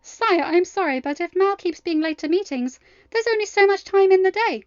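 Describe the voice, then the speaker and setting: A woman's voice: very high voice, expressive, normal speed, no echo, muffled recording, pitched above the performer's own voice. A woman voicing a prim, condescending royal handler who scolds and huffs, in an audio story. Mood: huffy, defensive. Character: snooty, scolding young female royal handler.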